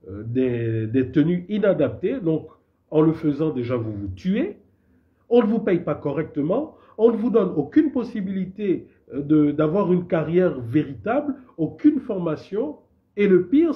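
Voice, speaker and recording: A man's voice: a little high, highs cut off. A man from Gabon, a civil society activist with a cold, making an impassioned statement, indignant and emphatic, stumbling and repeating himself. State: has a cold.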